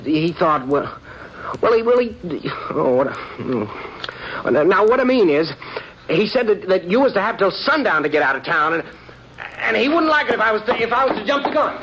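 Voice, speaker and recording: A cowardly young gunfighter who stammers and hesitates, with a bright, tense, jumpy voice. He sounds more scared than menacing. The old radio sound is dull, with a faint hiss. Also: sits high, very animated, moderate pace. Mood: nervous. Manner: stammering, hesitant, repeats his words. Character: nervous, cowardly young gunman, male. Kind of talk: 1950s radio drama acting.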